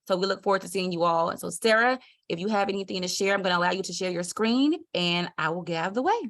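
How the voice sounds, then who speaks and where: A woman who manages a city business program introduces an online session with slow, careful announcements. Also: on the low side, fairly bright tone. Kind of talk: introducing a webinar. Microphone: video call.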